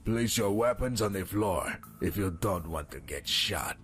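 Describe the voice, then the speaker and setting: Low, menacing renegade soldier. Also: male voice, animated, unhurried, a bit bright, faint music behind. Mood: cold, threatening. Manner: commanding, menacing. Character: cold, defiant male renegade soldier with revolutionary zeal. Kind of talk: radio drama acting.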